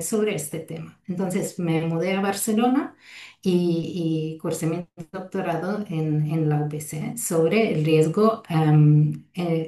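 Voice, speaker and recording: A woman's voice: fairly low pitch, mellow. A woman in her thirties from Italy, speaking with an Italian accent and giving a webinar lecture with frequent fillers and restarts. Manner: hesitant, many fillers and restarts. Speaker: lecturer, fire safety researcher. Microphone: video call. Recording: roomy echo, distant from mic.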